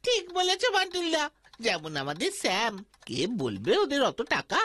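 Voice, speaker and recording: A woman's voice: on the high side, huge pitch swings, unhurried, a bit bright, heavily acted. A woman voicing a curious little boy in a children's cartoon, eagerly asking questions, with music behind. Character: curious, excitable little boy, admiring younger sidekick. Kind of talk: Bengali children's cartoon dubbing.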